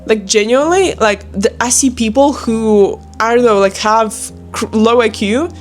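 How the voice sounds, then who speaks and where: A woman's voice: a little high, measured pace. Young Ukrainian-accented woman vlogger over music. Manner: informal, emphatic, repetitive encouragement. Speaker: online video creator and sales closer. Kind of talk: casual motivational chat to camera.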